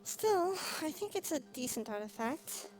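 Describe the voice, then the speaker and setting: A woman streamer giving casual live game commentary, thinking aloud with frequent pauses. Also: high voice, sing-song, a little mellow, slight background noise, low-quality recording. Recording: music behind the voice.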